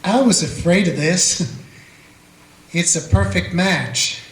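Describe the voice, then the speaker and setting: A man playing a steady small-town Old West lawman in a radio play, telling the story plainly in the first person. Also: sits high, takes it slowly, full character voice. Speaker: narrator and lawman character. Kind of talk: amateur radio play acting. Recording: echoey room with guitar music.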